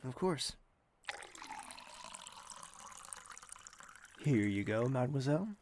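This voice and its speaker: An actor playing a courteous, quietly caring host and narrator with a husky, measured, dry delivery in an audio drama. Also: mid-range voice, lively intonation, a little hiss or hum, natural voice. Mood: gentle, reflective.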